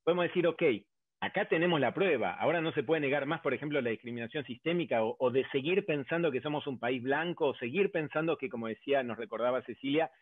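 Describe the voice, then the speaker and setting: An Argentine man on an academic panel, building his point step by step and pausing on fillers. His voice comes through a narrow, phone-like remote connection with no room sound at all. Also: medium pitch, slightly rough, dry recording. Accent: Argentine Spanish. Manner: hesitant, many fillers, builds arguments step by step. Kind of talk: round-table discussion. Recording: dry, tinny phone-like line.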